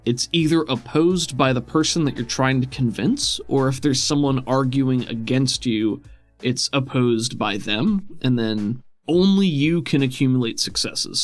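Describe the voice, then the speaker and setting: A man speaks as game master in a casual, explanatory style. His voice sits at a middle pitch. Faint music runs underneath as he talks through the tabletop game. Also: normal speed. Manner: conversational, explanatory.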